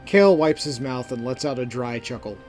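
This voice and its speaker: A man narrating a scripted fantasy story in a measured, deliberate, dramatic manner. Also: medium pitch, expressive, darkish tone. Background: background music.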